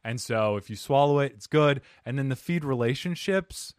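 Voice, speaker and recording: A man's voice: mid-range voice, moderate pace, clear, resonant tone, no room sound, studio quality. A man hosting a podcast interview, chatting in a playful, self-deprecating way. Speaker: podcast host. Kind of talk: casual interview chat.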